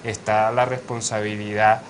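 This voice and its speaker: A young man from Colombia's Caribbean coast, with its accent, explaining law as an invited professor in a measured way, pausing often with fillers. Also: on the low side, level tone, slight background noise. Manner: measured, with frequent hesitations and fillers. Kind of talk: academic interview explanation.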